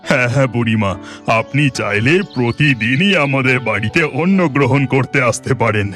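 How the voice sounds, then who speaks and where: A man's voice: mid-range voice, expressive, moderate pace, fairly bright tone, soft background music, close to an everyday voice. A man voicing a devout, humble village husband in a cartoon folktale, eager to please. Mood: eager, obliging.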